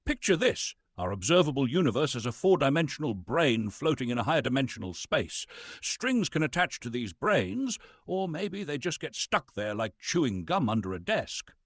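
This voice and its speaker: A man playing a pompous, waspish Shakespearean actor delivering a theatrical comic lecture with arch, dramatic pauses. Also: mid-range voice, big pitch swings, breathy edge, dry recording, clean studio sound. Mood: arch, amused.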